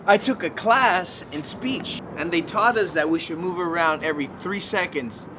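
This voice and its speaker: A man's voice: high voice, moderate pace, noisy background. A man vlogging in a casual, conversational way, giving informal advice to camera with some emphasis. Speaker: YouTube vlogger.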